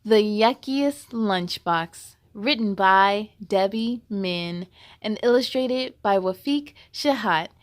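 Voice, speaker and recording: A woman hosting a children's story time, reading a picture book aloud in an expressive, sing-song way and chatting to young listeners. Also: medium pitch, slow.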